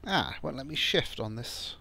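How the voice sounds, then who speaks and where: A man with a croaky, phlegmy voice from a lingering cold narrates his gameplay in a relaxed let's-play style. He thinks aloud as he goes and halts often on ums and restarts. His pitch sits in an ordinary middle range. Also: moderate pace. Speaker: gameplay channel host. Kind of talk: casual let's-play commentary.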